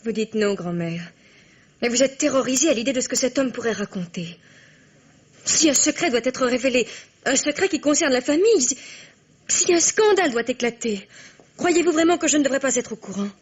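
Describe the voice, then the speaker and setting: A woman's voice: sits high, lively intonation, brisk, husky. A young woman playing an earnest, emphatic daughter of twenty-two in a French radio drama. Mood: indignant. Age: young adult.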